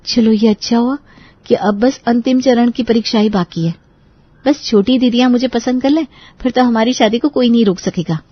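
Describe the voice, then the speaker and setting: A woman's voice: fairly high pitch, normal speed, breathy edge, no room sound, voice pushed up. A woman voicing a playful young bride-to-be in a family audio drama, with slightly dull sound. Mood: relieved.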